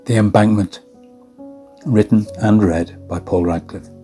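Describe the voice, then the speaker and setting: A man reading his own ghost story in a measured, solemn way. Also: on the low side, steady tone, dark tone. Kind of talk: scripted ghost story narration. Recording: guitar music behind the voice. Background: plucked guitar music.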